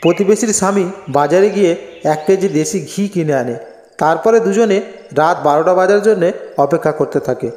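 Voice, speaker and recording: High-pitched male storyteller in heavy reverb. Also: normal speed, a little mellow. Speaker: storyteller, narrator. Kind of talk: scripted cartoon story narration. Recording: echoey with long reverb.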